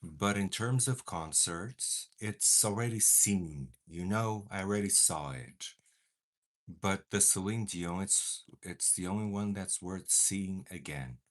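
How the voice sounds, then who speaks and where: A man's voice: deep voice, slow. A young man whose first language is Portuguese chats casually while doing his makeup, with dramatic bursts of mock outrage. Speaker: beauty vlogger.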